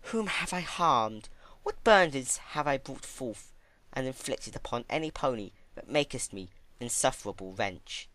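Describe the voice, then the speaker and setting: An ancient pony princess played by a man, unhinged and theatrical, in a dramatic fan fiction reading. Her pitch is lifted and leaps about, with a bright edge, as she chats with drawn-out emphasis about her suffering. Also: expressive. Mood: wistful, pained. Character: ancient immortal pony princess, female, unhinged, gleefully recalling torment.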